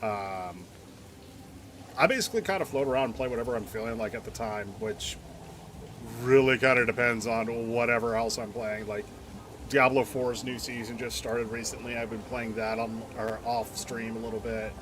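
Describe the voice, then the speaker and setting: A man's voice: mid-range voice, moderate pace, fairly bright tone, slight background noise. A man chatting casually as a game streamer, in an informal, rambling way. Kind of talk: casual live stream chat and reactions.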